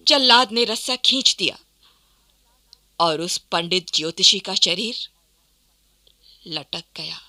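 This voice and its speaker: A woman's voice: mid-range voice, sharp tone. A woman giving dramatic scripted narration for a radio play, theatrical and emphatic.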